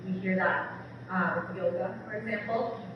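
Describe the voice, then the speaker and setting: A woman's voice: mid-range voice, steady tone, normal speed, lo-fi sound. Woman lecturing from afar in an echoey hall. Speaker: English professor giving a book talk. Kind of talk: public lecture, semi-scripted. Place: large reverberant room, recorded from afar. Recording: distant mic, echoey hall, noisy background.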